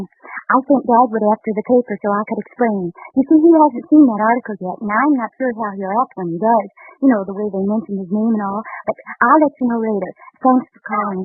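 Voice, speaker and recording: A woman playing a sweet, quietly scheming young housewife in a 1930s radio comedy, coaxing and gently evasive. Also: fairly high pitch, breathy edge, no room sound. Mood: confiding. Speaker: sitcom wife. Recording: muffled, narrow-band 1930s radio recording.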